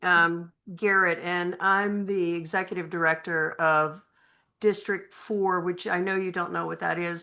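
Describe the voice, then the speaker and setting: A woman's voice: fairly low pitch, gravelly, pushed and bright. A woman executive director introducing herself in a businesslike way at a community meeting.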